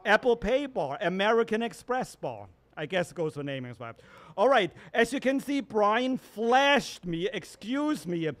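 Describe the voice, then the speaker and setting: A man with a German accent, performing a deadpan stand-up comedy set at an open mic. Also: high-pitched. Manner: deadpan wordplay, self-correcting asides.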